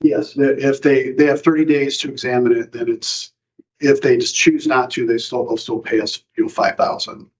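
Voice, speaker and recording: A man from county staff explains a software deal to a board, halting and full of fillers and restarts. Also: mid-range voice, fairly even intonation. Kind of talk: informal meeting presentation. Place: county board meeting room. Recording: dull lo-fi meeting recording.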